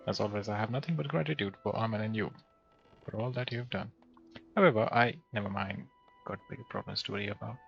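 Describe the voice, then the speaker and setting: A man playing through a fantasy game, reading the dialogue aloud and adding casual remarks, with a gravelly voice. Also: fairly low pitch, fast, fairly bright tone, muffled recording. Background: game music.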